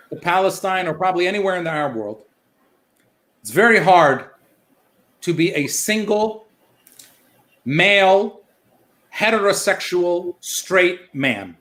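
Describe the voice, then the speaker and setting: A man's voice: high voice, fairly bright tone. A young adult man from the United States doing a stand-up comedy set over a live stream, telling a story and acting out the voices of the people in it. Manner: storytelling, acts out his mom's and friend's lines in quick back-and-forth.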